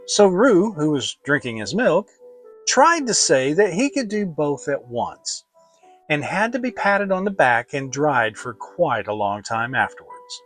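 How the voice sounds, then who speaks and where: Male narrator for a children's audiobook: lively intonation, soft music underneath. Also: a little high, measured pace. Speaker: storybook narrator. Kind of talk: dramatic children's story narration.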